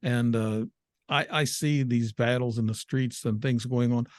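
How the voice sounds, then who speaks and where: A man's voice: medium pitch, steady tone, unhurried. A man in his seventies hosting an interview, talking hesitantly and agreeably with frequent restarts. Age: 70s. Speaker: host. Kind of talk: conversational interview.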